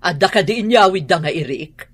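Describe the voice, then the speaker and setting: A middle-aged Ilocano mother in a radio drama. She is worried and quick to scold. Her voice is husky and swings widely in pitch. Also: lively intonation. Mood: probing, agitated.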